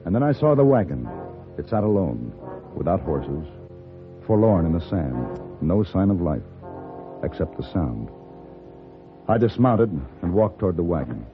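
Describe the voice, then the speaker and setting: An actor playing a calm, wry American gunfighter in an old-time radio western, measured and plain-spoken in narration and dialogue. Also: male voice, low-pitched, heavily acted. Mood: dry, reflective. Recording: tinny old radio broadcast with music.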